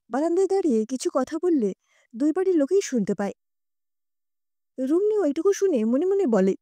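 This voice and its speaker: A woman narrating a scripted audio story in an expressive, conversational reading. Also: sits high, no room sound, studio quality.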